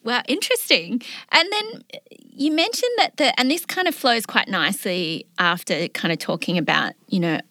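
A woman's voice: fairly high pitch, animated, normal speed, sharp tone, no room sound, studio quality. A woman hosting a podcast, reflecting on what her guest says and asking open follow-up questions. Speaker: podcast host. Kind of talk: casual interview chat.

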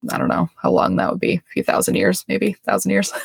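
Woman host, some vocal fry, dry close sound, earnest and chatty. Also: mid-range voice, very animated, quick pace, dry recording. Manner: earnest, self-deprecating, laughs often. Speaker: podcast host. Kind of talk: casual religious explanation.

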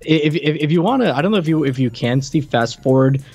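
A man co-hosting a podcast in casual, unscripted chat, with a halting, rambling delivery full of repeated words and stammers. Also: on the high side, lively intonation, darkish tone, slightly rough, soft background music. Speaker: podcast co-host reviewing retro video games.

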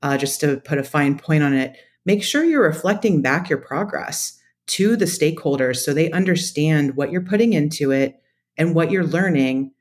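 A woman's voice: deep voice, expressive, normal speed. A woman speaking as an interview guest, giving conversational, practical advice in a methodical, explanatory way. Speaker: podcast guest, data practitioner.

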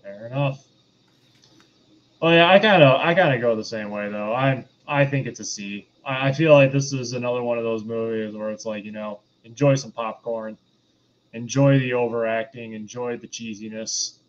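A man with a medium-pitched voice talks as a panelist on a review podcast. He speaks in a casual, conversational style. The audio is a little muffled and lo-fi. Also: steady tone, moderate pace, a little hiss or hum. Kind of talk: casual film review chat.

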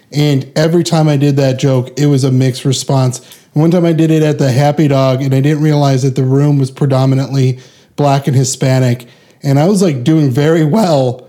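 Earnest comedian man, mellow voice. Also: a little high. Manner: earnest storytelling. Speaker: stand-up comedian. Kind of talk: casual podcast chat.

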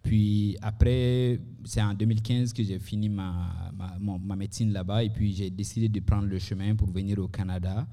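A man's voice: lowish voice, flat delivery, moderate pace, hardly any pauses, dark tone. A man, introducing himself in a modest, hesitant way at a live event. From Mali. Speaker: panel guest, medical director. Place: live recording in a university bar. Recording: reverberant room, live event recording.

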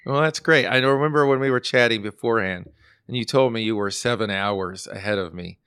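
A man's voice: lowish voice, a little fast, fairly bright tone, clear, resonant tone, no echo, studio quality. A man hosting a podcast, chatting in a friendly way and sometimes stopping to hesitate with filler words. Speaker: podcast host. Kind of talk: casual interview.

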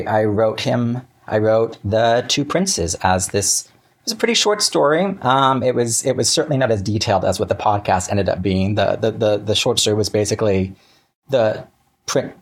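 Male interview guest, lowish pitch, casual storyteller who chats haltingly and often rephrases.

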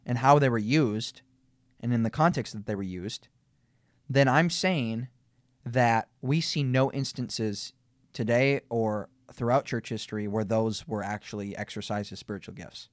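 A man's voice: medium pitch, unhurried, dry recording. Emphatic man arguing, dry close sound. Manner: emphatic, builds arguments step by step with repeated restarts. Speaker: podcast host in a debate. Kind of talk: debate conversation.

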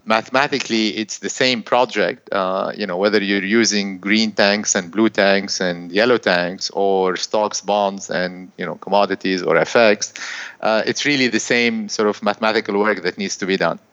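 A man in his fifties from Lebanon, a guest telling his career story in a measured, thoughtful way with many fillers. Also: fairly low pitch, steady tone, a bit bright, clean tone, no room sound. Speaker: guest, hedge fund founder. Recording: dull, lo-fi sound.

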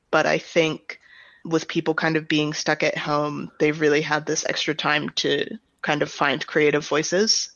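A woman speaking as an interviewed director, explaining her creative work in a thoughtful, reflective way with softened statements. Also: sits low, gravelly, dry recording, lo-fi sound. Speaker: audio drama director and writer being interviewed.

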